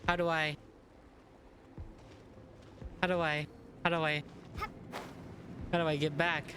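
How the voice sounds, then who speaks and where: A man's voice: a little high, flat delivery, slow, bright, forceful tone, a little hiss or hum. A man giving casual commentary while he plays a game. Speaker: gaming video creator playing and reacting. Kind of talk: casual gameplay commentary with dialogue read aloud. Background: game music.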